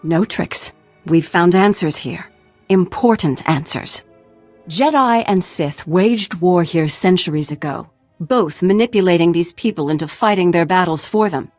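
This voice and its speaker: A woman's voice: on the low side, unhurried, a little mellow, dry recording, soft background music, lightly acted. A woman acting a principled, defiant fighter in a video game, speaking firmly and earnestly. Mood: earnest, resolute. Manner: earnest, idealistic, firm.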